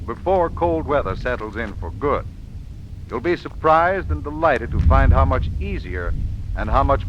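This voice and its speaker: A man giving a scripted 1940s radio advertising pitch as a heating expert, formal, measured and persuasive. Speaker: sponsor's home heating expert. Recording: muffled, faintly noisy 1940s radio broadcast.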